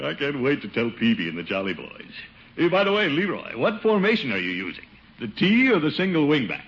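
An actor playing a pompous, doting middle-aged uncle in a 1950s radio sitcom, blustery and theatrical. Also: male voice, fairly high pitch, huge pitch swings, a little fast, fairly bright tone, heavily acted. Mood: proud, blustery. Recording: dull, hissy 1950 radio recording.

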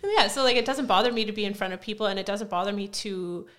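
A woman guest in a casual podcast interview, chatty and self-deprecating, who says she has a loud voice. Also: mid-range voice, fast. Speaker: guest, CrossFit coach.

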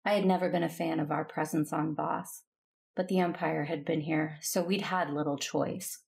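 A woman's voice: sits low, fairly even intonation, moderate pace, gravelly, a little mellow, slight background noise. A woman playing a determined, earnest captive Jedi, narrating and speaking in an audio drama. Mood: grim, reflective. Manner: introspective, earnest first-person narrating.

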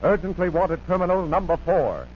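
A man's voice: on the high side, big pitch swings, moderate pace, lo-fi sound, heavy background noise. An American man working as a program announcer in formal, emphatic 1940s radio style, on an old broadcast recording.

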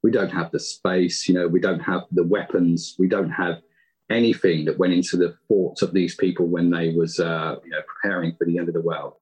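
A man's voice: deep voice, flat delivery, a little fast, darkish tone, husky, low-quality recording. A British man chatting casually as a guest in an interview. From United Kingdom. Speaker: interview guest, audio drama writer.

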